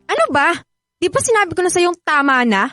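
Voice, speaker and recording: A woman's voice: extremely high pitch, big pitch swings, fairly quick, sharp tone, no echo, clean studio sound, full character voice. An actress playing a firm, hurt young woman rejecting her ex in a Filipino radio drama, emphatic and exasperated. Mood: hurt, angry. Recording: music bed behind the voice.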